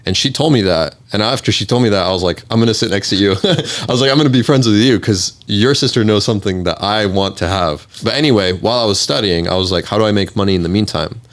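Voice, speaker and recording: Low-voiced young man chatting casually. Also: quick pace, hardly any pauses. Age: 20s. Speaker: interview guest, entrepreneur. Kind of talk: casual chat, personal storytelling.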